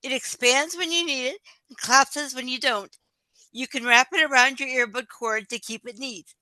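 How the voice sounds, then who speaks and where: An actress playing an inventive, business-savvy entrepreneur in an audio play, with a polished sales-pitch delivery. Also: female voice, sharp tone, no room sound, low-quality recording.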